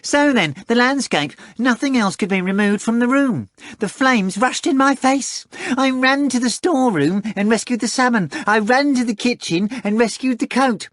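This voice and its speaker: A man voicing a boastful, excitable male cat who talks in a shrill, theatrical rush. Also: normal speed, no room sound. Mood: pleading. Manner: shrill, breathless, pleading and theatrical.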